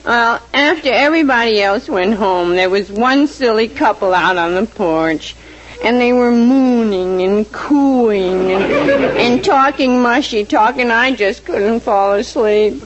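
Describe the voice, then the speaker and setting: Woman acting a naive complaining maid, very slow drawl, muffled old radio sound. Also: fairly high pitch, close to an everyday voice. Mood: innocent complaining. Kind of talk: old-time radio situation comedy acting.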